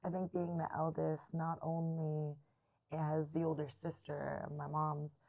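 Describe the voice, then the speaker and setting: A young woman guest in a casual interview, an animated storyteller who laughs easily and adds playful asides. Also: sits low, unhurried, creaky.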